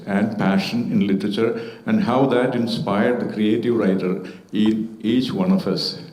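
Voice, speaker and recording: A man's voice: lowish voice, darkish tone. Man giving a formal speech. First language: Malayalam. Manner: measured and formal, with occasional hesitations. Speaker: festival panelist. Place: auditorium at a literature festival. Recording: echoey auditorium sound.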